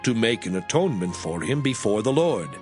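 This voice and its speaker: A man reading scripture as a narrator, solemn and deliberate in his delivery. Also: lowish voice, big pitch swings. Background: background music.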